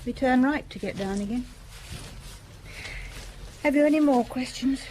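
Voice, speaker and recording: A brooding, provocative young wife. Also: female voice, a little slow, mellow, slight background noise, rough recording. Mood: teasing. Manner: provocative, low and intimate. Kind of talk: radio drama acting.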